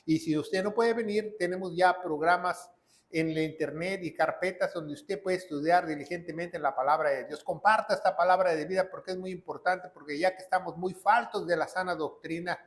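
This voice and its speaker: A man's voice: sits high, steady tone, normal speed. A man preaching a verse-by-verse Bible study, speaking emphatically, repeating phrases and asking rhetorical questions of his congregation. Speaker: pastor. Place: church in Tennessee.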